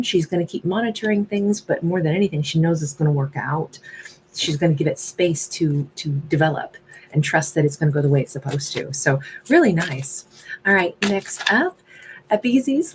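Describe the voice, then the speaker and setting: A woman's voice: lowish voice, slight background noise, low-quality recording. A woman doing a casual live-stream tarot reading, talking in a loose, reflective way with frequent asides. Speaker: tarot reader.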